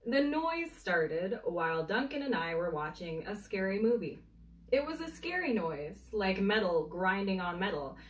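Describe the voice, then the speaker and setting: A woman storyteller reads a comic tale aloud in a roomy space, some way from the microphone. Her delivery is deliberate and theatrical, pausing for suspense. The pitch of her voice sits in an average range for a woman. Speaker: bookseller storyteller reading aloud. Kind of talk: animated bedtime story reading of a humorous book. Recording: roomy, echoey, distant from the mic.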